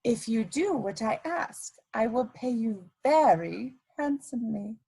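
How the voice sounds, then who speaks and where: A woman acts out an elegant society schemer in a period stage drama. Her voice is high and swoops expressively, with deliberate, imperious phrasing that stays coolly ruthless. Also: lively intonation, creaky, warm tone, slightly rough, heavily acted, rough recording. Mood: coolly menacing.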